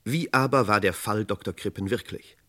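A low male voice narrating a vintage true-crime radio play. He is measured and rhetorical, with a dramatic touch in the 1960s radio style. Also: sharp tone.

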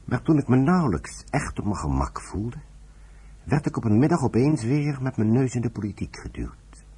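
A man's voice: medium pitch, sing-song, moderate pace, warm tone, slightly rough. A man acting an older retired British general in a Dutch radio play, dry, stubborn and ironic. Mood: reflective.

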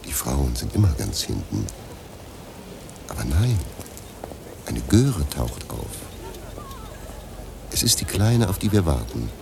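A man playing a slow, portentous watcher announcing an arrival in a German radio play. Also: extremely low pitch, very animated, vocal fry, background noise, full character voice.

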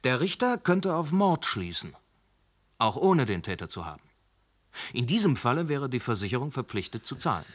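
An actor playing a shrewd police inspector in a radio play who reasons calmly, then grills a suspect harshly. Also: male voice, medium pitch, moderate pace. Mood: reasoning. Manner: probing, turns harsh and scolding. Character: shrewd, hard-pressing male police inspector. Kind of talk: radio drama acting.

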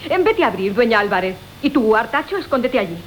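Una actriz de acento castellano interpreta a una madre astuta y coqueta que engatusa a sus amantes, en una comedia clásica radiofónica, con tono zalamero y teatral. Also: female voice, fairly high pitch, sing-song, quick pace, poor audio. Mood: sly, scheming. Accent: Castilian Spanish. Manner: wheedling, theatrical. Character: wily, coquettish mother conning several lovers for money. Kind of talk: classic Spanish comic radio theatre. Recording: hissy 1980 radio drama recording.

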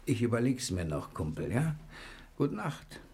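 An actor playing an easygoing, wily adventurer hiding out in jail, laid-back, chummy and wry, in 1960s German radio crime drama style. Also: male voice, medium pitch, big pitch swings, unhurried, very noisy. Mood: chummy, breezy.

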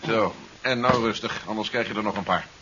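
An actor playing a commanding, ruthless conspirator in a 1970s radio drama, speaking firmly and deliberately with authority. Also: male voice, on the low side, close to an everyday voice. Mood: commanding, cold. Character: commanding, ruthless man leading a desperate conspiracy. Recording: muffled, hissy 1970s radio recording.

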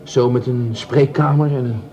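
An actor plays a penniless male plumber in a radio drama. He is a dreamer who mocks himself and loves women. His mid-pitched voice stammers and repeats words as it rhapsodises, with clear café chatter behind it. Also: fairly even intonation, normal speed, lightly acted. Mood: impressed. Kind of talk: radio play dialogue.

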